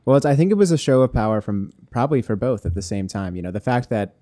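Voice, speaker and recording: A man's voice: medium pitch, animated, quick pace, mellow. A man chatting casually as a podcast co-host. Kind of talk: casual chat.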